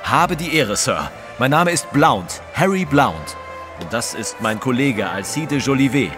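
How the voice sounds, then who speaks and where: A polite but competitive gentleman reporter in a radio play. He speaks formally and properly, his mid-range voice moving up and down a lot, with music clearly underneath. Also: medium pitch, big pitch swings, measured pace. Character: courteous, competitive English newspaper reporter, somewhat stiff. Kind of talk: German audio drama acting.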